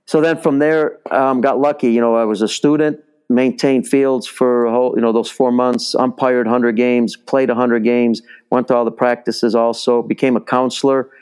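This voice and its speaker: Smooth-voiced man telling stories. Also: mid-range voice, fairly even intonation, normal speed, a little mellow. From Chicago, US. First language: Italian. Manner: rambling, many fillers and restarts. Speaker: baseball instructor, podcast guest. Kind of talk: casual interview storytelling.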